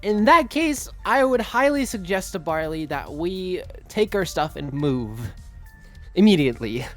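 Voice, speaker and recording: A woman voicing a nervous, excitable, friendly young male cat in an in-character fan Q&A, halting and then bursting with excitement. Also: on the low side, soft background music. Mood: earnest, nervous.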